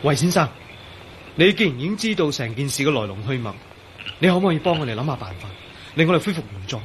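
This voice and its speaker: A man's voice: on the high side, sing-song, quick pace, a little hiss or hum. An actor playing a tormented, impatient man in a Cantonese radio drama. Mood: weary, impatient. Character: tormented, impatient man turned into a transparent skeleton.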